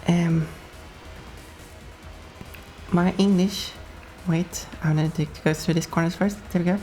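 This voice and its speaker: Low-voiced Swedish woman streaming a racing game. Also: fairly even intonation, slow pace, mellow, faint music behind. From Sweden. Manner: chatty, laughs often, talks to chat while racing. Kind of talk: casual gameplay commentary.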